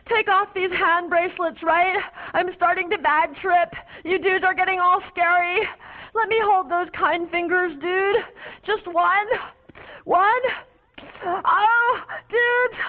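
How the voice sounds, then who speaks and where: A woman playing a high, paranoid young raver rambling dreamily to police officers, her voice tinny and lo-fi. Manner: dreamy, babbling, whimpering. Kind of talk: comic stage monologue. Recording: tinny phone-like lo-fi recording.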